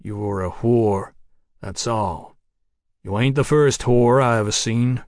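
Audiobook role: grim gunman, middle-aged, played by a man. Dry, sharp, weary, toneless, menacing. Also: mid-range voice, dry recording. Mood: weary contempt. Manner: toneless, terse, drawn-out phrases. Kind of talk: audiobook character acting.

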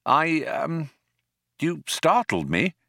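A man's voice: fairly high pitch, huge pitch swings, normal speed, sharp tone, dry recording, clean studio sound, pitched above the performer's own voice, full character voice. A man playing an awkward, flustered ordinary man in an audiobook, stammering and hesitant, often incredulous. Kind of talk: audiobook character voice.

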